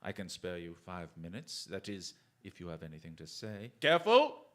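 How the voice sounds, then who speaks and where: A man's voice: sits low, big pitch swings, moderate pace, fairly bright tone. A man playing a shrewd, commanding detective in a radio drama, with a dryly ironic, clipped and theatrical manner. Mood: coolly threatening. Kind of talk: stage-style radio drama acting.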